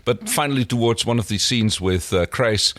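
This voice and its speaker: A man's voice: medium pitch, moderate pace, a bit bright, no room sound. A man co-hosting a podcast, casually recapping an episode and often searching for his words. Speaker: podcast co-host.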